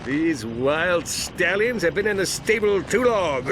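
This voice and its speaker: A boastful, dim superhero, bombastic and goofy. His somewhat high voice bounces all over. It is a game cutscene. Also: background noise, heavily acted. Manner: bombastic, goofy, panics loudly.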